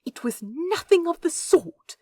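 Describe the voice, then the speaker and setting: A woman's voice: extremely high pitch, very animated, a little slow, darkish tone, no echo, studio quality, pitched above the performer's own voice, exaggerated voice. Lady doing a prissy flustered angel guy, all indignant and fussy, voice jumps way up and down, story reading. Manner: fussy, indignant, old-fashioned exclamations. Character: prissy, flustered male angel, easily scandalised.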